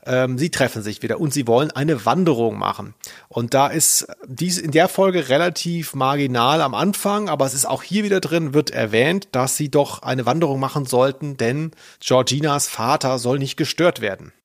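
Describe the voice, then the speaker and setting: A man co-hosting a culture podcast in casual conversation. His voice is medium in pitch with hardly any room sound. He rambles enthusiastically and doubles up words. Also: moderate pace, no echo, studio quality. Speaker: podcast host, culture journalist.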